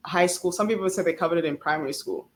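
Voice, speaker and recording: A woman's voice: fairly low pitch, quick pace. A young woman from South Africa whose first language is Swahili, speaking as a student guest in a casual interview, chatty, earnest and emphatic. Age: young adult.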